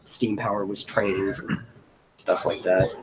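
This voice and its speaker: A man's voice: deep voice, flat delivery, unhurried, over a noisy crowd, poor audio. A man hosting a casual brainstorming chat, thinking aloud in a loose way. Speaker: host of a brainstorming stream. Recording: noticeable background noise.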